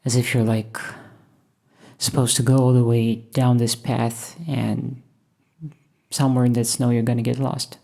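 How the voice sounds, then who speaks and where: Gravelly-voiced woman musing. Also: rumbling low, level tone, warm tone. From Moscow, Russia. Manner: thoughtful, hesitant, musing with many pauses. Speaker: painter and channel host. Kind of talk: reflective voice-over while painting.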